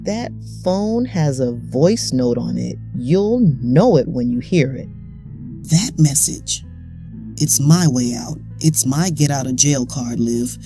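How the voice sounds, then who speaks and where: An actress playing a desperate, secretive friend who pleads insistently on a call in an audio drama. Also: female voice, extremely low pitch, expressive, takes it slowly, gravelly, dark tone, faint music behind, full character voice. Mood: low insistence. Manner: urgent, insistent.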